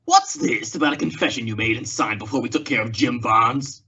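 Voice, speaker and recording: An actor playing a gruff, menacing male outlaw in an old-time radio western. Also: medium pitch, a little fast, pushed and bright. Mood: accusing, menacing. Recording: dull lo-fi audio with hiss, clicks.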